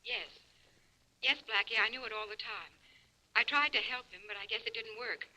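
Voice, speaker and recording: Evasive pleading woman on the phone, old radio acting, piercing bright voice. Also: fairly high pitch, normal speed, rough recording. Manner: earnest, pleading. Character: pleading, protective sister, evasive young woman. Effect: telephone filter.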